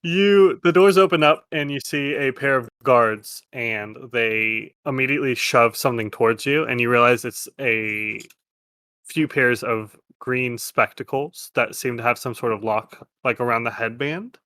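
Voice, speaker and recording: A man's voice: mid-range voice, measured pace, sharp tone, dry recording. A man improvising casually as game master of a tabletop game, bantering with players.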